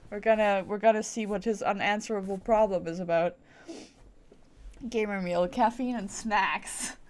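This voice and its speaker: A woman livestreaming a video game, chatting casually to viewers in a playful, rambling, giggly way. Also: mid-range voice. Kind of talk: casual livestream chat with viewers while gaming.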